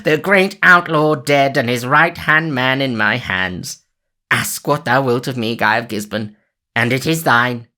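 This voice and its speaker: A man's voice: lowish voice, animated, moderate pace, sharp tone. A man voicing a scheming, gloating sheriff who raises his voice to bark orders.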